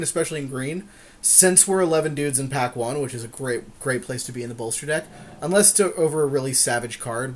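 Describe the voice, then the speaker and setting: A man's voice: mid-range voice, a little hiss or hum. A man co-hosting a podcast, casually commentating on a card game draft in an agreeable way, often repeating himself for emphasis. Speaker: podcast co-host.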